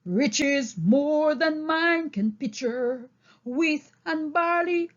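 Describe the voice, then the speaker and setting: A woman voicing an old male boar, a solemn, rousing orator addressing fellow animals in an audiobook, deliberate and emphatic. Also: high voice, expressive, muffled recording, heavily acted. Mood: rousing, singing. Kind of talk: audiobook character speech and song.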